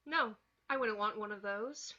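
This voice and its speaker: A woman's voice: medium pitch, unhurried, sharp tone, muffled recording. A woman playing a wary, reserved young woman in an amateur table read.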